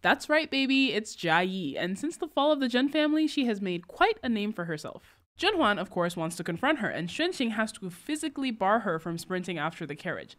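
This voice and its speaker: A woman's voice: mid-range voice, very animated, a little fast, hardly any pauses, fairly bright tone. A young woman, a book recap vlogger, narrating casually with wry, sarcastic asides.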